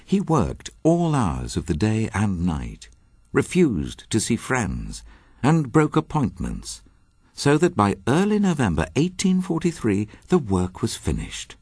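Deep, husky, very expressive male narrator. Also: measured pace, no room sound, studio quality. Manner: solemn, dramatic storytelling. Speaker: host introducing a radio drama. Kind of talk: scripted narration.

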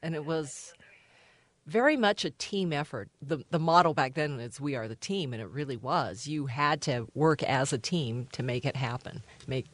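A man's voice: medium pitch, normal speed, a bit bright, no echo. A man who worked in a newsroom, reminiscing conversationally in an interview. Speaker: former newsroom staffer being interviewed.

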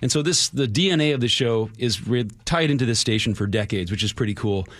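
A man's voice: mid-range voice, brisk, a bit bright, no room sound. A man chatting casually as a radio drama director, wry, self-deprecating and prone to digressions.

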